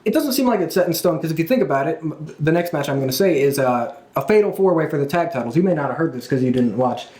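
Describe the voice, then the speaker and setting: Higher-voiced man in an echoey room. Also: lively intonation, fast talker, darkish tone. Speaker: podcast host. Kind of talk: casual wrestling discussion.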